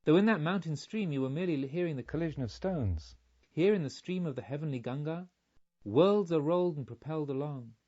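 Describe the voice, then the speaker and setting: A man reading a storybook, voicing a serene woman with a hushed, measured, dreamy manner, on a slightly muffled recording. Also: fairly high pitch, animated. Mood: calm, explaining. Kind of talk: audiobook storytelling.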